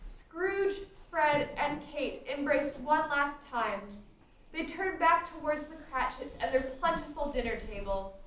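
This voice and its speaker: A woman's voice: high voice, lively intonation, measured pace, a bit bright. A woman narrating a scripted Christmas radio play as its storyteller. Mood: tender. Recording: distant echoey stage, faint noise.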